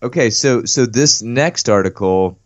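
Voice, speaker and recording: A young man co-hosting a podcast, talking in an easygoing, drawn-out way and repeating himself. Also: mid-range voice, no echo, dull audio. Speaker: podcast co-host. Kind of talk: casual podcast chat.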